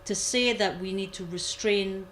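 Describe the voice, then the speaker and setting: A woman commentator giving a measured analysis, repeating key points for emphasis. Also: mid-range voice, a bit bright, slight background noise. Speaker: guest commentator. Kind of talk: analytical discussion.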